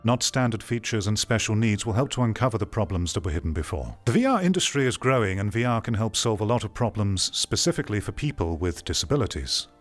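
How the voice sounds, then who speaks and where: A man's voice: fairly low pitch, expressive, fast talker, few pauses, soft background music. A man giving opinionated commentary on an article, reading parts aloud and reacting in a blunt, dismissive, argumentative way. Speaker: video commentator.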